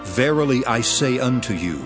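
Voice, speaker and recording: A solemn, authoritative master , played by a man, declaims in a scripture drama. His medium-pitched voice moves expressively up and down. Music plays behind his deliberate teaching. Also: lively intonation, natural voice. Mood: solemn, instructive.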